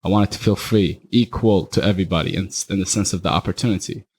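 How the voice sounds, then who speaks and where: A young man from Iraq talks fairly low, candid and loose, full of slang and filler. His delivery rambles easily from one point to the next. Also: fast, darkish tone, breathy edge. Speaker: guest, party promoter. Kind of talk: casual podcast chat.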